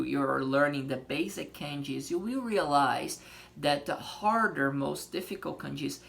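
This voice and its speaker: Man, gravelly. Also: fairly high pitch, fairly bright tone. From Brazil. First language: Portuguese. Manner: explains patiently with many fillers and check-ins. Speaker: language teacher. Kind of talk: casual video lesson.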